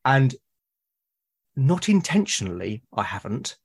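Man from Britain, podcast guest, husky, mid-pitched, enthusiastic, rambling. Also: big pitch swings, unhurried. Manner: enthusiastic, rambling with many restarts. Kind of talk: casual podcast conversation.

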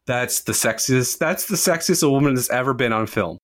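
A man co-hosting a casual movie podcast, talking in excited, emphatic bursts. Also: mid-range voice, brisk. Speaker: podcast co-host.

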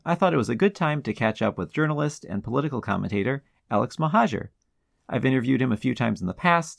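A man's voice: mid-range voice, creaky. A man hosting a podcast, introducing and chatting in an interview style.

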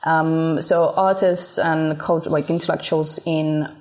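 A woman from Ukraine, a native Russian speaker, answering interview questions in a measured way with frequent hesitations. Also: deep voice, flat delivery, darkish tone. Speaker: guest, art expert.

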